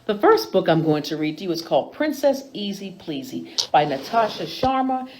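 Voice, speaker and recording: A woman reading picture books aloud to children in an expressive storytime style, as a host with a deliberate, animated delivery. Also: medium pitch, poor audio.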